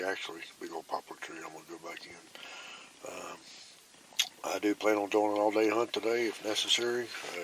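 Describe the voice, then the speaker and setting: A man, filming a hunting vlog on his phone outdoors, telling his story in an excited ramble and switching to a hushed whisper up in the tree stand. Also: low-pitched, background noise. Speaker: bowhunting vlogger. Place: outdoors in woods.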